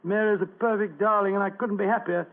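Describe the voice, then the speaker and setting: Casting: male actor as a genial, flustered sidekick, high-pitched and chummy, rough-edged voice, phone-thin vintage radio sound. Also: steady tone, fast talker, narrow, tinny audio. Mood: wistful, fond. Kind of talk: old-fashioned radio drama acting.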